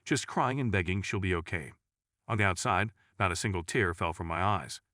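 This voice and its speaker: A man's voice: deep voice, moderate pace, sharp tone, no echo, studio quality. A man reading a scripted first-person story as a storyteller narrator.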